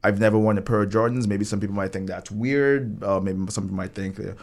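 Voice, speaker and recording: A man's voice: on the low side, steady tone, quick pace. A man from Nigeria, a rapper guest, talking casually and rambling with lots of fillers. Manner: laid-back, rambling, heavy on fillers. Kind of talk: casual podcast conversation.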